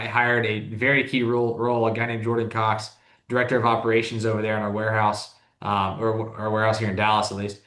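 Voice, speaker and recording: A man's voice: mid-range voice, fairly bright tone, reverberant. A man in his thirties, a business owner talking as an interview guest in a rambling, casual way, full of restarts and "like". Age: 30s. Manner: rambling, heavy use of "like", frequent restarts.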